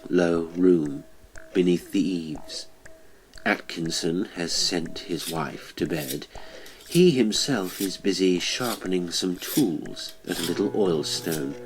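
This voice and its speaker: A man narrating a horror story for an audiobook in a measured, deliberate, dramatic way. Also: low voice, rough recording.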